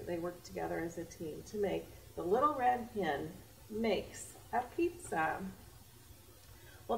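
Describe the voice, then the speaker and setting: An American woman in middle age reads a storybook to young children at a slow, theatrical pace. Her voice has a creaky edge. She is picked up from a distance in a room with faint noise. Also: medium pitch, darkish tone. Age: middle-aged. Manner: unhurried, theatrical, simple explanatory phrasing. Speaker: library storytime host. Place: library children's area, indoors.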